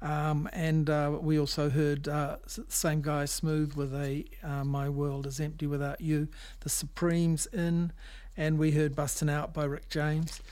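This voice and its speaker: A man hosting a music show on radio, talking casually and off the cuff in a halting, rambling, hesitant way. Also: a little high, monotone, darkish tone. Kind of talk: casual, unscripted back-announcing of records.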